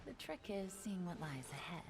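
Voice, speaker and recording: A woman playing a sly, alluring, enigmatic wanderer in a game cinematic, soft and teasing. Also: lowish voice, animated, normal speed, noisy background. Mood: coy, intriguing. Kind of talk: dramatic game cinematic acting.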